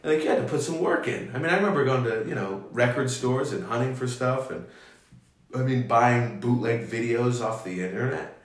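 A man's voice: mid-range voice, fairly quick, recorded from a distance. A man talking casually about his musical roots, rambling with lots of fillers and restarts. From United States. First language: Greek (bilingual with English). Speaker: musician guest. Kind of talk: casual conversation. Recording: echoey room, voice off-mic.

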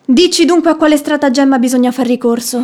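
A woman's voice: sits high, fast, dark tone, lightly acted. An actress playing a proud, easily offended young lady in love in a classic radio comedy, haughty and melodramatic. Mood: anxious.

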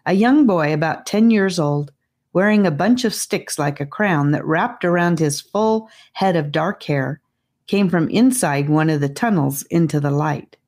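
Lady reading a kids fantasy audiobook, takes her time, really animated pitch. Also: measured pace. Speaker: audiobook narrator. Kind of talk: scripted children's fantasy storybook narration.

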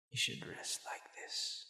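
A man voicing a tender, flustered demon boyfriend in an intimate ASMR roleplay, breathy and often near a whisper, speaking hesitantly. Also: reverberant, full character voice. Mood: tender, confessing. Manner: slow, hesitant, soft chuckling.

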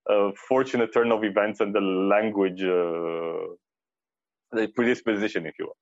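A man's voice: lowish voice, steady tone, moderate pace, no echo, dull audio. A man from Romania talking as an interview guest, hesitant, with many fillers and restarts. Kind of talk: casual interview talk.

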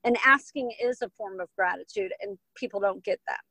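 A woman's voice: mid-range voice, fairly quick, bright, forceful tone, breathy edge, dry recording, rough recording. A woman from Texas and Hawaii with an American accent, answering interview questions as a podcast guest in an earnest, emphatic, conversational way. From Texas and Hawaii, United States. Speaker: podcast guest, business coach and author.

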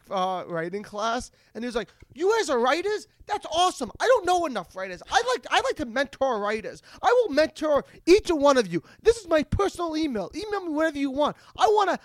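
Very high-voiced man in comedic chat. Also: no room sound. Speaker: podcast co-host.

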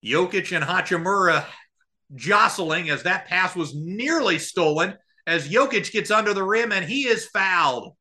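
A man doing live basketball play-by-play for radio. His voice is very bright and sharp, pitched a little high for a man. He delivers the commentary in an excitable sportscaster manner. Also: a little slow. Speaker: play-by-play announcer.

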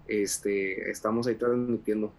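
A man's voice: fairly low pitch, steady tone, a little slow, darkish tone. A young adult man from Mexico, an associate pastor speaking as a radio interview guest, fervent and full of fillers and restarts.

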